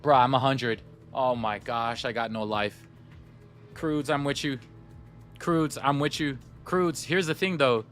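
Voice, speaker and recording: A man's voice: mid-range voice, fairly even intonation, unhurried, fairly bright tone, soft background music. Upbeat man chatting with his stream, Somali native. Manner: chatty, upbeat, talks straight to chat. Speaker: gaming streamer. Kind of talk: casual live-stream chat.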